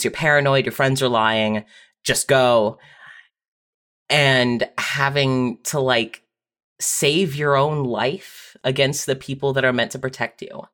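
A young adult speaking casually and candidly, telling personal stories in a halting way with frequent repeated words. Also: medium pitch, fairly bright tone. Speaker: podcast guest writer.

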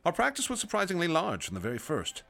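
An actor playing a grave male doctor narrating his testimony in a radio drama, measured and somber. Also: fairly high pitch, huge pitch swings, vocal fry, bright, forceful tone, soft background music, heavily acted. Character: grave male doctor recounting horrors as a witness.

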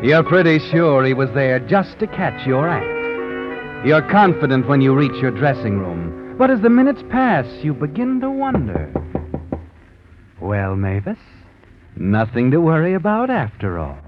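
An actor playing a sardonic, all-knowing narrator of a 1940s radio mystery, taunting the heroine with dramatic needling questions. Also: male voice, mid-range voice, very animated, unhurried, lo-fi sound. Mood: sardonic, urgent. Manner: dramatic second-person address, needling rhetorical questions. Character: sardonic, omniscient male narrator taunting the story's guilty heroine. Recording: vintage 1940s radio with music behind.

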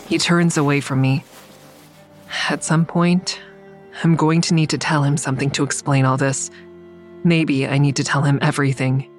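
A woman's voice: low voice, flat delivery, normal speed, faint music behind, close to an everyday voice. An actress playing a weary, guarded woman who narrates her own story in an audio drama, tired and introspective. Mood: weary, uneasy. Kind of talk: first-person audio drama narration and dialogue.

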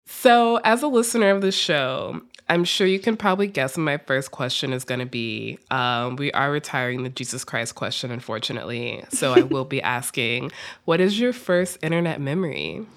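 A woman's voice: extremely low pitch, lively intonation, moderate pace. A woman hosting a podcast in casual chat, effusive and wry. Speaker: podcast host.

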